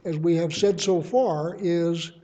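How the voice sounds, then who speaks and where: A man teaching a verse-by-verse Bible study, reasoning aloud in a measured way and offering his readings as suggestions. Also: on the high side, steady tone, dark tone, in a large hall, dull audio.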